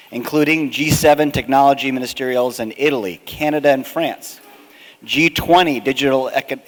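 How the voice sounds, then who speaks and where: A man introducing a guest speaker at a conference, reading his prepared remarks in a measured, deliberate way from a podium. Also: medium pitch. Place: large hotel ballroom. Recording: long hall reverb.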